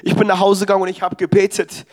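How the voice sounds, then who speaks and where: High-voiced young preacher. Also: male voice, monotone, fast, darkish tone. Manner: emphatic, exhorting preaching. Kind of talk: sermon. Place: church service.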